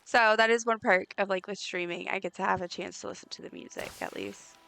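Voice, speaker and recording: A woman with a raspy voice chatting casually while streaming a game, rambling with lots of ums and likes. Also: medium pitch, steady tone, brisk, poor audio. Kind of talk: casual live gameplay chat. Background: soft game music.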